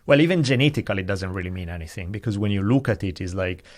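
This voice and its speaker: A man's voice: lowish voice, animated, very fast, darkish tone. A man, a podcast guest explaining ideas in a thoughtful, digressive way with frequent hedges. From Italy. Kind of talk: casual conversation.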